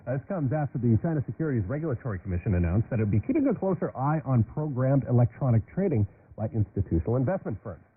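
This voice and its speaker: Animated male radio host over noisy shortwave. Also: medium pitch, fast, narrow, tinny audio. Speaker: radio news host and interviewer. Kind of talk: news presenting and interviewing.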